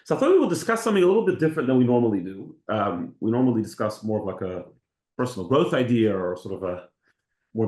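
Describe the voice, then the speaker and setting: A man's voice: mid-range voice, a little mellow. A man co-hosting a conversational religious discussion, speaking informally and posing questions. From Australia. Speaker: co-host of a religious discussion show. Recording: echoey room.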